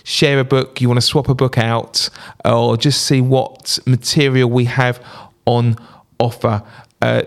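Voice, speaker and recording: A man's voice: medium pitch, flat delivery, a little slow, gravelly. A man hosting a school podcast, giving chatty, upbeat announcements to parents with jokey asides.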